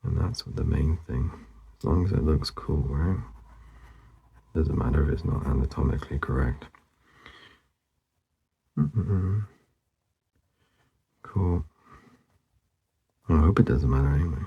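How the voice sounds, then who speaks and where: A man narrating casually as he draws, laid-back and murmuring, with a husky, gravelly voice that often trails off. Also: extremely low pitch, a little slow, long pauses, highs cut off. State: losing his voice, hoarse. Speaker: artist hosting a drawing channel. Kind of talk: casual narration while drawing.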